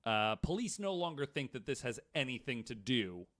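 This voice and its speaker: Bright-voiced American man telling a story. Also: medium pitch, very animated, moderate pace. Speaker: podcast co-host. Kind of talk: storytelling from notes with casual banter.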